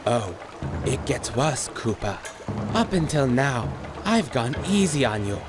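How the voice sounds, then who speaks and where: A man's voice: medium pitch, sing-song, unhurried. A man voicing an arrogant, power-hungry animal warlord in a video game, pompous, menacing and theatrical in his delivery. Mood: menacing fury. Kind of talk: video game villain acting. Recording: music behind the voice.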